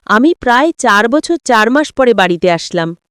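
A woman's voice: on the high side, lively intonation, normal speed, fairly bright tone, clean tone, no room sound, clean studio sound. A woman storyteller voicing a teasing, touchy young husband who needles with questions in an audio story. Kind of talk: acted dialogue in an audio story.

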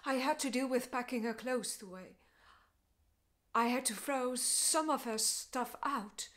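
A woman performing a stage monologue as a grieving, bitter mother confronting her ex-husband, speaking in deliberate, emotionally intense phrases. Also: fairly high pitch, a bit bright. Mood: wounded, restrained.

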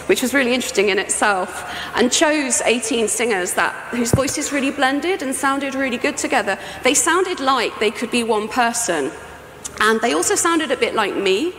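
A woman's voice: on the high side, lively intonation, normal speed, fairly bright tone. A middle-aged woman giving an informal, explanatory conference talk as a sound artist. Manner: conversational, explanatory. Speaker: sound artist presenting a project. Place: indoor talk venue with projection screen, on stage. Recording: echoey hall, faint background noise.

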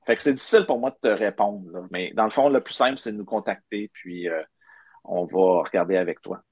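A man's voice: fairly low pitch, a little fast, a little mellow, husky, no room sound. A middle-aged man with a Quebec French accent, explaining things informally as a guest in a live video-call interview. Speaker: guest, maker of digital book bookmarks. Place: indoor room, on a video call. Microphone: webcam video call.

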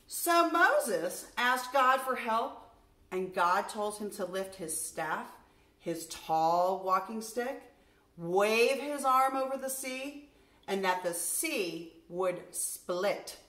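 A middle-aged woman, a nursery school teacher, reading a story aloud to young children in an expressive, deliberate way. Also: medium pitch. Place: furnished room at home, indoors. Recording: roomy indoor echo.

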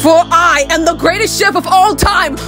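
Woman voicing a high-pitched, bossy pig demon chef. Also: moderate pace, music in the background. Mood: pompous menace. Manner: pompous, cursing, barking. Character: bossy foul-mouthed pig demon chef, grandiose and angry. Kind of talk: fan cartoon dub.

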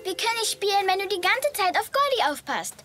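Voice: very high-pitched, big pitch swings, fairly quick, full character voice. A performer voicing a playful, eager little girl in a German-dubbed children's cartoon, with a childlike manner. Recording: very loud music behind.

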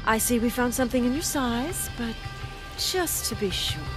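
Cold, high-voiced villainess played by a woman. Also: lively intonation, moderate pace, over music, close to an everyday voice, rough recording. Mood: cold, taunting. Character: cold, menacing, commanding female villain. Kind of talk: video game cutscene acting.

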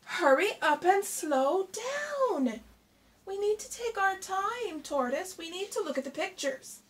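A woman voicing an impatient, excitable hare from a storybook, bossy and pleading, with an urgent, exclaiming manner. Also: extremely high pitch, takes it slowly, voice pushed up, exaggerated voice. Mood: insistent. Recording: distant mic with background noise.

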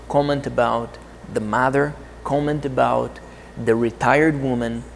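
Man, 30s, Brazilian accent, friendly English lesson to camera, slightly gravelly, long reverb. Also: medium pitch, a little hiss or hum. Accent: Brazilian Portuguese. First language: Portuguese. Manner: deliberate, friendly teaching delivery. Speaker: English teacher. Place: outdoor courtyard of a TV set.

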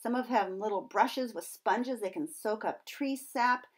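A woman's voice: on the high side, a little slow. A middle-aged woman presenting as a nature educator, explaining things to young children in simple words with questions to her listeners.

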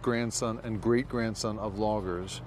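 Male reporter, lower voice, curious questioning, faint outdoor noise. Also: fairly even intonation, measured pace. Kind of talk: on-location interview.

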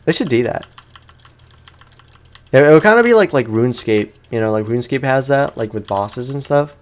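A man's voice: mid-range voice, expressive, normal speed. A young man, sounding tired, gives casual let's play commentary as a gaming host, rambling along in slangy, informal speech.

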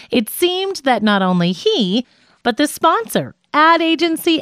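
A woman narrating a scripted nonfiction audiobook in a measured, articulate way. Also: medium pitch, dry recording, clean studio sound.

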